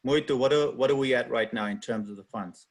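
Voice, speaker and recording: A man's voice: mid-range voice, fairly quick. A man on a video call moderating a panel, asking questions in a direct way.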